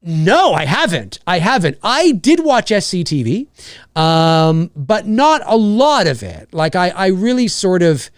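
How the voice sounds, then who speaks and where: Excitable Canadian man in his forties. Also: sits high, unhurried, no echo, clean studio sound. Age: 40s. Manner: excitable, rambling, reads donor messages aloud. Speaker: host of a live Q&A stream. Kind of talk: casual live-stream chat.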